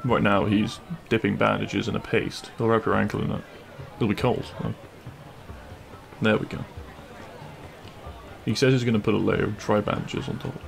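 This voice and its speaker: A man playing a cheeky, kind-hearted bandit prince in an intimate audio roleplay, with a gravelly voice and a teasing, reassuring manner. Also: lowish voice, little pitch movement, moderate pace, long pauses, slight background noise.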